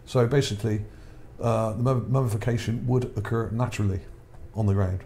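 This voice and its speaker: Male host, low mellow voice going hoarse, rambling delivery, faint hiss behind. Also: fairly even intonation, brisk. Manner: rambling, repeats words, checks in with "okay?". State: voice starting to go. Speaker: channel host. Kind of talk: casual narration over images, reading his article.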